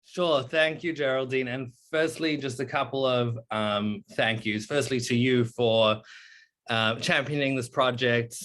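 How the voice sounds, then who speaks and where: A young man, a PhD candidate, giving a halting presentation on a video call with many fillers. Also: mid-range voice, fairly even intonation, a bit bright. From born in South Africa, raised in New Zealand. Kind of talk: online meeting presentation.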